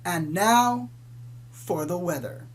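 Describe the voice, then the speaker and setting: A woman playing a calm small-town pony radio host in an audio drama, reporting eerie doom in a measured, deadpan news style. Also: lowish voice. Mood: smooth announcing. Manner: measured, deadpan about sinister things. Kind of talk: scripted spoof community radio news narration.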